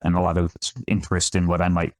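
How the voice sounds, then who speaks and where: Man, thirties, from upstate New York, very deep voice, chatty, self-deprecating, dry close sound. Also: completely flat delivery, quick pace, dry recording. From Finger Lakes region of New York. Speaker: winemaker guest. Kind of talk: conversational podcast interview.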